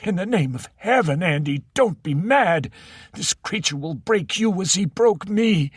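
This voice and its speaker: A man's voice: sing-song, extremely slow, a bit bright, slightly rough, dry recording, studio quality, full character voice. A man voicing a frail, frightened elderly male scientist with a faint, quavering voice. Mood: hysterical pleading. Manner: high, pleading, near-hysterical.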